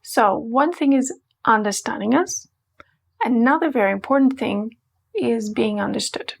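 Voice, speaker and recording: Gravelly-voiced woman teaching. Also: a little high, animated. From Portugal. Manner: playful, emphatic, slows to spell out words. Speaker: language teacher. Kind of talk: scripted online language lesson.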